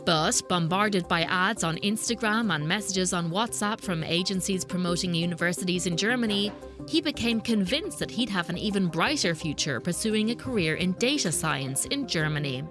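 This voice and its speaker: Female news narrator, measured scripted read, music bed underneath. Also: on the low side, lively intonation. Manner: measured, explanatory. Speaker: reporter and narrator.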